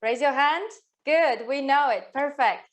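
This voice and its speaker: A woman's voice: sits high, a little slow. A woman with a Latvian accent co-leading a live online workshop, chatty and often laughing as she asks the audience questions. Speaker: workshop co-host.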